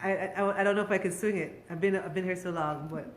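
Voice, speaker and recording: A woman's voice: fairly low pitch, fairly even intonation, quick pace, reverberant. A Jamaican woman hosting a casual chat, talkative and explaining things for outsiders. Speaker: host.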